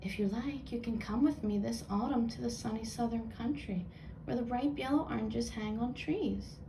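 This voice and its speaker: A woman voicing a kindly mistle thrush in a storybook, gently reassuring and comforting. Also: a little high, moderate pace, noisy background, close to an everyday voice.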